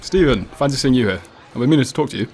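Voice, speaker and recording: Man acting a fast-talking British police detective. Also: medium pitch, animated, darkish tone, slight background noise, lightly acted. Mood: breezy, probing. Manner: polite, insistent questioning. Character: probing, casual but persistent male police detective. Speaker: actor in a radio play. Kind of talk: student radio drama acting. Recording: cafe crowd chatter behind.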